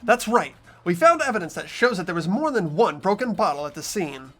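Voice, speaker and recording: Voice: medium pitch, big pitch swings, a little fast, a bit bright, soft background music. A performer voicing an earnest teenage boy investigator who argues through the evidence in a fan dub of a game. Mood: reasoning.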